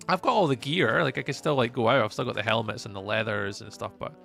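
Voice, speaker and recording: Scottish male streamer, gravelly and bright, chatty, rambling, lots of ums. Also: mid-range voice, fast, faint music behind. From Scotland. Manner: rambling and chatty, many fillers and restarts. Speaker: live streamer. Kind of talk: casual chat with live chat while gaming.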